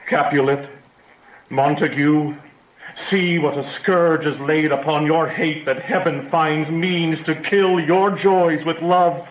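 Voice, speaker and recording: An actor playing a stern, commanding prince in a Shakespearean audio drama, declaiming formally and with authority. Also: male voice, a little high, fairly even intonation, slow. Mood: stern rebuke. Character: stern commanding prince judging feuding families. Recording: echoey room, audible background hiss.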